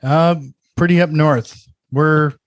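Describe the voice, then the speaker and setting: A man's voice: on the high side, steady tone, slow pace, clean tone, dry recording. A man with a slightly Canadian-sounding northern accent, hosting a podcast interview, asking questions with some hesitation and answering with short affirmations. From northern USA. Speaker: podcast host.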